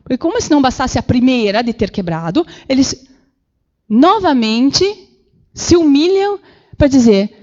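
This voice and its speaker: A woman giving an animated public lecture with slides in a council chamber, acting out the voices she quotes. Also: high-pitched, measured pace, highs cut off. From Brazil. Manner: animated, acts out quoted voices, many fillers. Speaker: guest lecturer.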